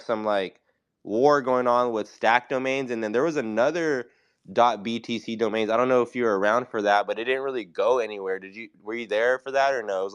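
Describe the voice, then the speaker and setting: A laid-back man with a cold, his voice congested but smooth and a little low. He chats as a guest and is full of fillers. The sound is close and dry. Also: dry recording, muffled recording. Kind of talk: casual crypto chat.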